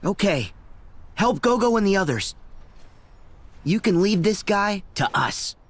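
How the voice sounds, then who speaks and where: A man voicing a plucky, warm-hearted teenage boy hero in a video game, eager and emphatic. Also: sits high, big pitch swings, measured pace, exaggerated voice. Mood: determined.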